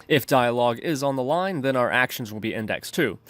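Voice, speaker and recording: A man with a medium-pitched voice presents a step-by-step software tutorial. His delivery is methodical and instructional, with faint music playing behind him. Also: animated, a little fast, no room sound. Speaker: programming tutorial host. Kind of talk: scripted tutorial narration.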